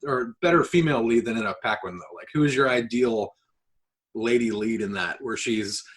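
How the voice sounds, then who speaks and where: A man co-hosting a podcast with casual banter and excitable, joking interjections. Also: mid-range voice, moderate pace. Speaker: podcast co-host.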